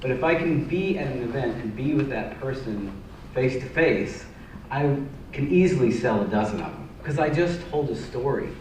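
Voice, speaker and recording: A man's voice: medium pitch, expressive, moderate pace, darkish tone, a little hiss or hum. A man answering on a panel in a thoughtful way, often restarting his sentences. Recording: echoey hall, distant mic.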